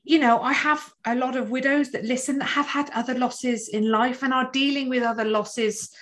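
A woman hosting a podcast interview, speaking thoughtfully with many pauses and restarts. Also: high voice, fairly even intonation, a bit bright. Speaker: podcast host.

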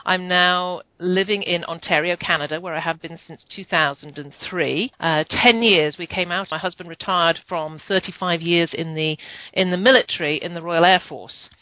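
A woman's voice: on the low side, fairly even intonation, moderate pace, no echo. An older woman from the United Kingdom with a British accent, telling her story as a chatty podcast guest who often restarts her sentences. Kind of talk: conversational interview storytelling. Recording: muffled lo-fi dry sound.

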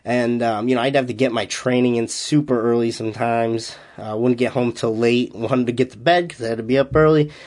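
A man's voice: medium pitch, steady tone, fairly quick, hardly any pauses, a little mellow. A man from Massachusetts with a slight Massachusetts accent, a strength coach hosting his own podcast and talking casually in an upbeat, rambling way with lots of fillers. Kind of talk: casual solo podcast talk.

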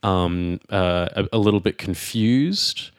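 Slow, hesitant low-voiced man. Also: dry recording, clean studio sound. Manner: hesitant, thinking aloud with many fillers. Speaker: co-host and English teacher. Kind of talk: casual chat.

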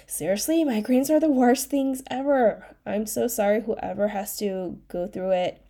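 A woman's voice: medium pitch, a little slow, warm tone. A young woman hosting her own podcast, chatting casually and rambling, her voice nasal from a cold. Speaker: podcast host, life coach. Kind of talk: casual solo podcast chat.

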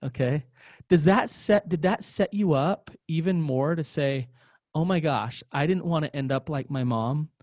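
A man's voice: fairly high pitch, measured pace, darkish tone, dry recording, studio quality. A man co-hosting a podcast, asking probing questions and often restarting his sentences. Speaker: podcast co-host, interviewer. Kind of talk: podcast interview.

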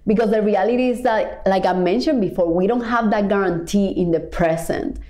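A woman's voice: medium pitch, a little fast, darkish tone, roomy sound. A woman born in Cuba, a life coach and podcast host, talking earnestly in a reflective motivational monologue, stretching key words and building on repeated phrases.

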